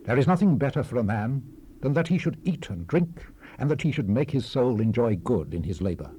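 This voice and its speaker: Guy playing weary old-world bible preacher, dark soft-edged low voice, slow solemn declaiming, hissy tape rip. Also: animated, close to an everyday voice. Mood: grave, resigned. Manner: solemn, measured, declamatory, with falling phrase endings. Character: weary, brooding old-world preacher reflecting on life's vanity. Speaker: scripture narrator. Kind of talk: dramatized Bible reading, solemn and theatrical. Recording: hissy 1970s cassette rip.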